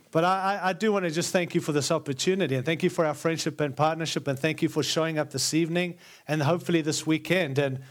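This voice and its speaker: A man with an Australian accent preaching a sermon as a guest speaker to a live congregation, earnest and emphatic, stacking repeated phrases to build his point. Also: fairly high pitch. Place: large church before a live congregation.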